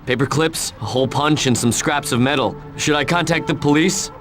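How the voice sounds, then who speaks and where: A man's voice: fairly high pitch, brisk, fairly bright tone, faint music behind, natural voice. An actor playing a deferential male subordinate in a video game, reporting in a matter-of-fact way. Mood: dutiful. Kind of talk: video game cutscene acting.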